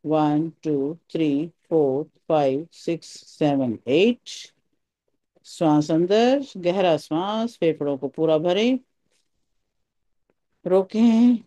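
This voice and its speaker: A meditation teacher giving calm, soothing guided instructions in short phrases with pauses. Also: medium pitch, dry recording.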